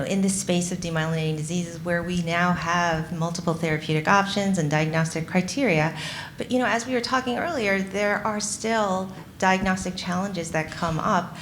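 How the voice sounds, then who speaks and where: A woman's voice: on the low side, slight background noise. A woman moderating a live patient panel at an event, chatty and a little apologetic, with plenty of fillers. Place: event venue in front of an audience.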